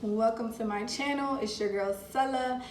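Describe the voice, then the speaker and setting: A woman's voice: a little high, moderate pace, a little hiss or hum. A young woman hosting a casual unboxing review, chatty and talking to viewers as "you guys", getting over a cold. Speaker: vlogger host. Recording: echoey room.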